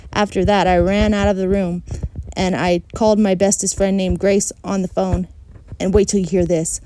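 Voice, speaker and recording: Female storybook reader, first-person child narrator, mellow tone, mid-range pitch. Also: little pitch movement, moderate pace, slight background noise, rough recording.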